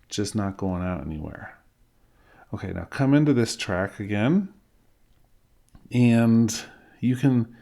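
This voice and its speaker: A man's voice: fairly low pitch, mellow. A middle-aged man giving an informal screen-recorded tutorial, explaining things step by step as an instructor. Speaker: music software instructor.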